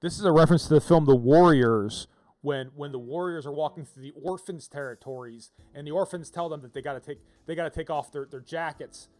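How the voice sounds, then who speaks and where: A man's voice: fairly high pitch, brisk, a little mellow, rough recording. A man giving casual, rambling live commentary as he streams a video game, often repeating phrases as he riffs. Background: game music.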